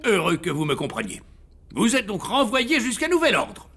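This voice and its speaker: A man with a creaky voice, playing an excitable, exasperated cartoon character who is quick to scold. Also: sits high, heavily acted. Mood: stern. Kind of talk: animated cartoon dub acting. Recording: loud music behind.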